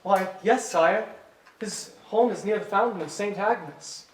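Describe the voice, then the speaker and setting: A man's voice: high-pitched, measured pace. A man playing a timid, deferential young royal page in a staged musical reading, heard in a distant, roomy recording. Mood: deferential, informative. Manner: deferential, formal, hesitant to travel.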